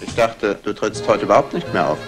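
An actor playing a cool, businesslike gem smuggler in a 1960s German crime radio play, curt and matter-of-fact. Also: male voice, lowish voice, a little fast, soft background music, close to an everyday voice.

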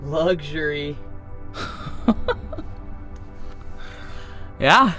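A man's voice: on the high side, extremely slow, sharp tone, soft background music. A young man talking casually to camera from inside a truck camper at night, chatty and joking. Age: young adult. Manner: chatty, laid-back, joking. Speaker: vlogger. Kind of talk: casual vlog talk to camera. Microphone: camera mic at close range.

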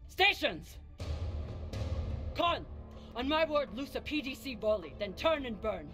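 Terse, commanding spaceship captain. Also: male voice, extremely high pitch, very animated, unhurried, heavily acted. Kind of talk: video game acting. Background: loud music.

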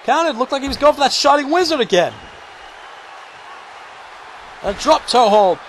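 High-pitched excited wrestling commentator, hoarse. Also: male voice, fast talker, slight background noise. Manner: shouting, high-pitched and strained, losing his voice. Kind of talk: excited play-by-play commentary of a video game wrestling match.

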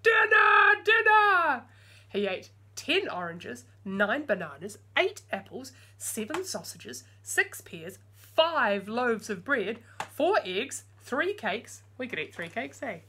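A woman's voice: medium pitch, very animated, slow, few pauses, a bit bright, a little hiss or hum. A woman in her forties reading a picture book aloud expressively, with chatty asides to young listeners. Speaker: storytime reader. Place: small room at home with bookshelves.

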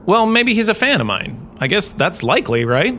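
A man's voice: high-pitched, big pitch swings, normal speed, pushed and bright, slight background noise, exaggerated voice. A man playing a glib, theatrical university lecturer who gives a scripted comic talk with wry, chatty asides. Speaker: host.

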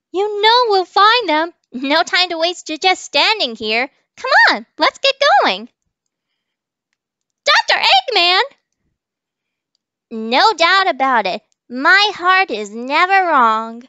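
Woman doing squeaky lovestruck hedgehog girl, very high, lively swoops, dry mic. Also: no echo, full character voice. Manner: high, eager, exclaiming.

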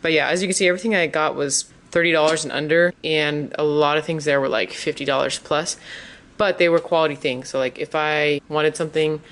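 Female vlogger, low smooth voice, casual and enthusiastic haul narration. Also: fairly even intonation, a little fast, fairly bright tone. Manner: informal, enthusiastic. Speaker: vlogger, parent. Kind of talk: casual chatty shopping haul voice-over.

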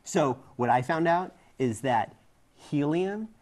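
A man's voice: fairly high pitch, lively intonation, slow, darkish tone. A man in his forties co-hosting a science show, explaining things in casual banter. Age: 40s. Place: studio set. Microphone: clip-on lapel mic.

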